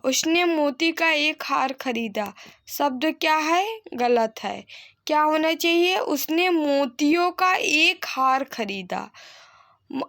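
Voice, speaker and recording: A woman's voice: high-pitched, fairly bright tone. A woman teacher giving a grammar lesson, repeating example sentences didactically and answering her own questions. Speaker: Hindi grammar teacher for exam candidates. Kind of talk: instructional lesson, reading sentences and correcting them.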